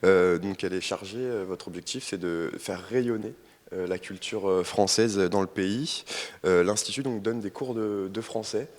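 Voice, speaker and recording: A man from France hosting a radio interview, asking questions in a measured, formal way. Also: low voice. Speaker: radio host.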